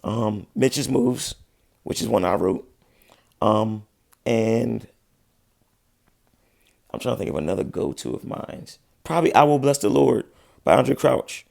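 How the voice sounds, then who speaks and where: A laid-back man in his thirties, hosting a casual livestream and answering viewers in relaxed slang. His voice sits low and gravelly and is wearing thin, as he says he is losing it. The sound is close and dry, with no room echo. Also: a little slow, long pauses. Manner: laid-back slangy talk, frequent restarts. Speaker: livestream host, musician.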